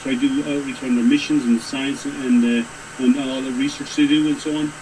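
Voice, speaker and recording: A man's voice: medium pitch, steady tone. A man from Ireland, a guest on a casual livestream, joking and restarting his sentences. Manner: quick, joking, many restarts. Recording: noisy background.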